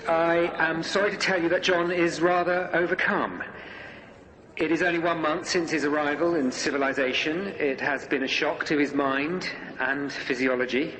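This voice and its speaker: Flustered male host, higher-pitched. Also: fairly even intonation, bright, forceful tone, rough recording. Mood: nervous, formal. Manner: formal, halting, apologetic. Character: flustered, deferential male event host placating high-ranking guests. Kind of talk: radio drama acting. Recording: crowd murmuring behind the voice.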